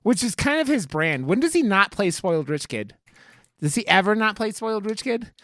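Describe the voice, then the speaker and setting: Casual, profane podcaster with lively pitch. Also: no room sound. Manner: profane, halting and rambling. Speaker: podcast co-host. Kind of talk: casual film review chat.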